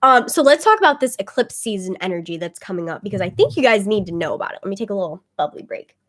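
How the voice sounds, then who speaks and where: Woman rambling on a webcam stream, lots of likes, kinda phlegmy, middling pitch. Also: lively intonation, quick pace, fairly bright tone. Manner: chatty, rambling, frequent "like" fillers. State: a bit phlegmy, congested. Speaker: tarot reader livestreaming to viewers. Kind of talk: casual livestream chat.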